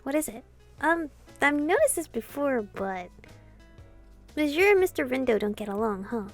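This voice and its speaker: A woman doing casual, expressive gameplay commentary, reading visual novel dialogue aloud and adding sweary asides. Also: high voice, normal speed. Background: game music.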